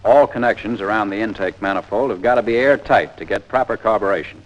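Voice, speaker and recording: A man's voice: fairly low pitch, fast talker. An American man narrating a 1940s instructional training film in a plain-spoken, methodical, step-by-step way. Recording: dull, lo-fi 1940s film soundtrack.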